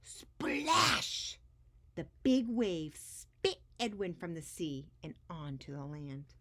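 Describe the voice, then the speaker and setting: A woman's voice: sits low, big pitch swings, slow, fairly bright tone. A woman hosting a story time for young children, speaking expressively as she shares a picture book.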